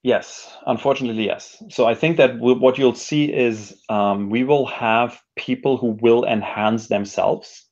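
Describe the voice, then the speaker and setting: A man's voice: mid-range voice, steady tone, a little slow, hardly any pauses, a little mellow. Man, mid-range voice, thoughtful interview guest, hesitant with frequent restarts, dull lo-fi audio. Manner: reflective, hesitant, frequent self-restarts and hedging. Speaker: interview guest, technology educator and speaker.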